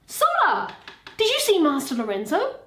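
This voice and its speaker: A woman playing a boisterous, clownish male servant in Shakespearean comedy, loud and hollering. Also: very high voice, wildly swooping pitch, normal speed, reverberant, voice pushed up, full character voice. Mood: boisterous, excited. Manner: loud, whooping calls. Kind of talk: Shakespearean comic acting.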